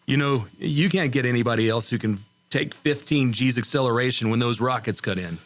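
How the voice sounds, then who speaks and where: A man's voice: mid-range voice, a little fast, lightly acted. A man playing a cool, wry rocket test pilot in an old-time science fiction radio drama, giving clipped, matter-of-fact reports. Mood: wry, easygoing.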